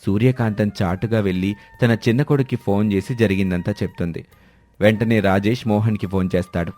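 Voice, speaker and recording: A man's voice: lowish voice, fairly even intonation, fairly quick, darkish tone, soft background music. A man delivering scripted narration as the narrator of a story.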